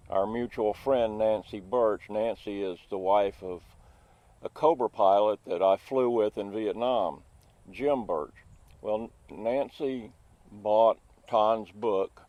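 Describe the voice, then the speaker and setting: A man in his seventies, a Vietnam helicopter pilot veteran, telling a story in a slow, measured way in an interview. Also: fairly low pitch, dry recording, dull audio. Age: 70s.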